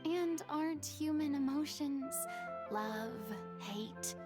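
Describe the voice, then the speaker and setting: A woman's voice: high voice, slow pace. A woman voicing a confident, theatrical young female star performer in a video game, with a dramatic, teasing delivery. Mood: confident, grand. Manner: dramatic, self-assured, teasing. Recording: music under the voice.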